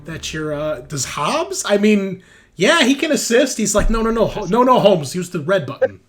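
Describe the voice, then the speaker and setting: A man's voice: sits high, big pitch swings, moderate pace, fairly bright tone. A man acting as game master, switching between scene narration and casual talk about the rules.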